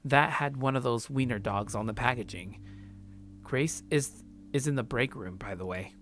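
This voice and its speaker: A man's voice: medium pitch, moderate pace, a bit bright, a little hiss or hum. A man hosting a let's play, reading a visual novel's first-person narration aloud.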